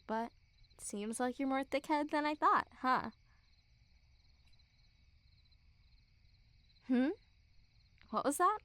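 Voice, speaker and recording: A woman's voice: on the high side, no room sound. A young woman playing a smug, mocking school bully girl who teases with a hint of care, in an intimate ASMR roleplay. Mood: smug, teasing. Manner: mocking, teasing, giggly. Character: teasing, smug school bully girl, secretly a little caring. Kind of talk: ASMR girlfriend roleplay, intimate one-sided dialogue.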